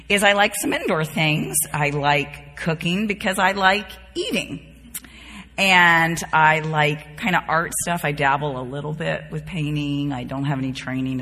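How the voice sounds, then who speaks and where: A woman's voice: on the low side, pushed and bright. A woman in her fifties from the Bahamas and North Carolina, teaching a Bible study group in an informal, folksy, conversational way. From born and raised in the Bahamas, later North Carolina. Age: 50s. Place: large reverberant room, speaking to a group. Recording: reverberant hall.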